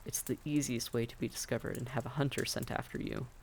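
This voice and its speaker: A man playing a friendly, patient vampire innkeeper in a roleplay audio drama, giving gentle, folksy, reassuring advice straight to the listener. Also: medium pitch, steady tone, quick pace, creaky, slight background noise, natural voice, low-quality recording. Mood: advising, encouraging. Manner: gentle, folksy, politely advising.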